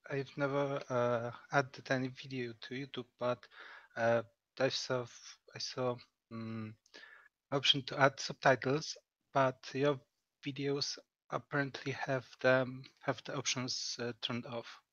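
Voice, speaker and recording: A man from Poland whose first language is Polish, calling in and pausing often as he searches for words. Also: mid-range voice, level tone, fairly bright tone, no room sound. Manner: hesitant, searching for words with many pauses. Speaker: caller. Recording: muffled call audio with background noise.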